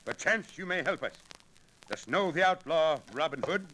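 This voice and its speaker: Hearty, bright-voiced commanding nobleman. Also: expressive, normal speed. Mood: jovial, hearty. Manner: booming, theatrical, archaic speech. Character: hearty, commanding nobleman on a hunt, disguised as abbot. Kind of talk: 1940s radio adventure acting. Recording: noisy 1940s radio recording with clicks.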